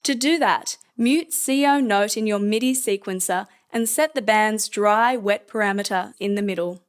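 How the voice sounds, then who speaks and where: A woman narrating a scripted software tutorial in a measured, explanatory manner. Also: medium pitch.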